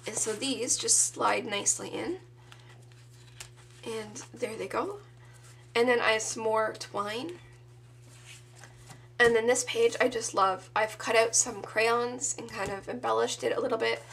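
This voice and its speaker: Woman, 30s, sore throat, gravelly fry, slightly high voice, chatty craft show-and-tell. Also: measured pace, pauses often, a little hiss or hum. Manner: relaxed, chatty, enthusiastic about her materials. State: sore throat, losing her voice. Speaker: craft vlogger showing her scrapbook.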